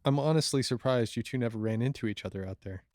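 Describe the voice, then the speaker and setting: A man's voice: mid-range voice, fast talker, darkish tone, dry recording, clean studio sound, close to an everyday voice. A man playing a wary, earnest newcomer in an audio drama, questioning and defensive. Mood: surprised, wary.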